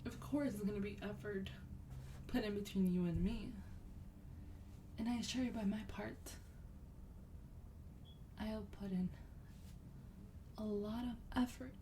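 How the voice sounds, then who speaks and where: Woman playing a slow, soft-spoken vampire lady. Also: mid-range voice, fairly even intonation, far from the mic, slight background noise, lightly acted. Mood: coaxing. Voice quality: soft, breathy, often dropping to a whisper. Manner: gentle, unhurried, coaxing, slightly haughty. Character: sweet, wealthy female demon vampire, lovestruck and coaxing. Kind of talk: soft-spoken ASMR roleplay monologue.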